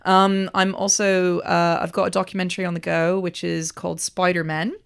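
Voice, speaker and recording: A woman film producer chatting as a podcast guest, talkative and loose, with frequent pauses and restarts. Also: fairly low pitch, little pitch movement, vocal fry, smooth. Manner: chatty, many ums and restarts. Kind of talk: casual interview chat.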